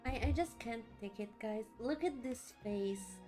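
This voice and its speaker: A woman's voice: a little high, unhurried, mellow, faint music behind. A woman giving casual let's play commentary as a streamer, informal, giggly and reactive in her manner. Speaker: streamer playing a game.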